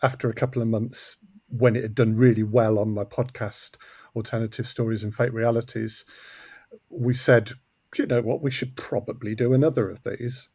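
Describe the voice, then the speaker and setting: A man's voice: medium pitch, fairly even intonation, moderate pace, darkish tone, husky, no echo. A man speaking as a podcast guest in a conversational interview, choosing his words thoughtfully and with some hesitation. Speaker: podcast guest, audio drama producer.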